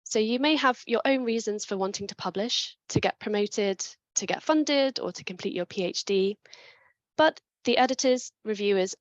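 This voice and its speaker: A woman presenting a webinar talk on writing papers, clear and methodical, with a chest infection coming on. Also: mid-range voice, no echo, dull audio. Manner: clear, methodical, with small restarts.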